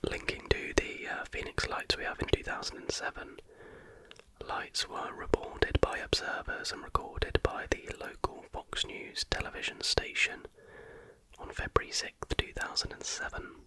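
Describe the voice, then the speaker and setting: An ASMR host reading factual material aloud in a breathy, unvoiced whisper with a measured, hushed delivery. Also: no echo.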